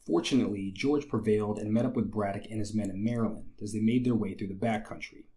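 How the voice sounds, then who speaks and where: A young man with a slightly raspy, hoarse voice, hosting a history channel and narrating from a script with wry conversational asides. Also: on the low side, fast, mellow. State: recovering from illness, slightly hoarse.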